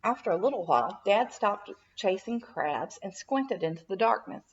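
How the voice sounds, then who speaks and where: A woman reads a storybook aloud for young children in a mid-pitched voice. Her narration is animated and dramatic, with lots of melodic movement. The sound is a little dull and lo-fi. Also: normal speed, slightly rough. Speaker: children's minister reading a storybook.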